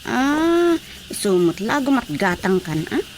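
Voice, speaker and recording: A woman's voice: on the high side, very animated, slow pace, a little hiss or hum, exaggerated voice. An actress playing a chatty, familiar woman vendor in a radio drama, drawing out her words.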